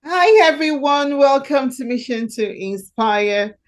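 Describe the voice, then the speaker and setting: A woman's voice: high-pitched, lively intonation, slow pace, music in the background. A woman hosting an interview podcast, introducing and questioning her guest with an effusive, enthusiastic manner. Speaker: podcast host.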